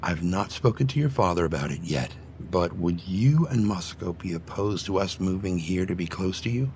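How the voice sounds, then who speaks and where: A man voicing a gentle, sentimental aging father animal in a motion comic, speaking tenderly and with emotion in a soft, husky voice. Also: sits low, expressive, moderate pace, a little hiss or hum. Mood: earnest, affectionate.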